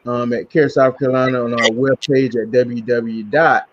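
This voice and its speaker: A man's voice: mid-range voice, fairly even intonation, moderate pace, background noise. Man hosting a podcast, dull lo-fi sound. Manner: repeats himself, many fillers. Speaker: podcast host. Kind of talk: closing a panel discussion.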